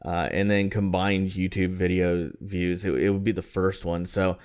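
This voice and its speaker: A man's voice: low voice, flat delivery, normal speed, darkish tone, clear, resonant tone. A man in his thirties from Florida, co-hosting a podcast in a rambling, self-deprecating casual chat. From Florida, USA. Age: 30s. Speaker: podcast co-host.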